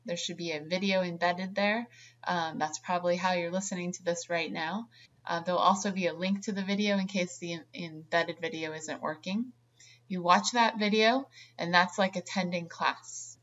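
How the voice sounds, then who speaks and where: A woman's voice: medium pitch, dull audio. A middle-aged woman, a creative writing instructor, delivering a recorded online course lecture in an earnest way, with many ums and restarts.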